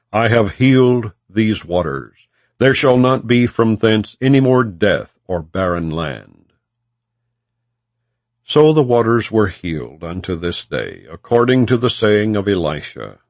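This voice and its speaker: A man reading scripture as an audiobook narrator, in a solemn, measured, non-dramatized style. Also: on the low side, dry recording. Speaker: audiobook reader.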